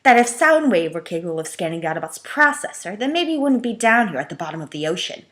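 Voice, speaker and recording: A woman puts on a malicious male robot air commander from a fan fiction story. He is insolent and sly, taunting with drawn-out words and false humility. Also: fairly low pitch, sing-song, a bit bright. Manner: taunting, mock-submissive, drawn out.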